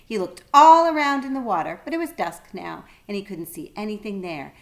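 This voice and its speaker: A woman's voice: mid-range voice, lively intonation, brisk. A woman reading a children's storybook aloud like a teacher, expressively and speaking directly to young listeners.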